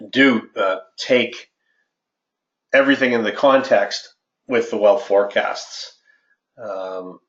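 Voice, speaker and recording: A man presenting to clients as a financial advisor, speaking haltingly and often repeating words. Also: on the low side, fairly bright tone. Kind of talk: informal slide presentation to clients. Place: indoor room on a conference call. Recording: muffled, roomy conference-call audio.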